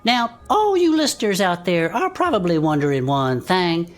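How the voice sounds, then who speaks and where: A woman's voice: lowish voice, sing-song, normal speed. A woman playing a folksy retired adventurer gnome who co-hosts a podcast in an audio drama, with an exclamatory manner. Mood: folksy, curious.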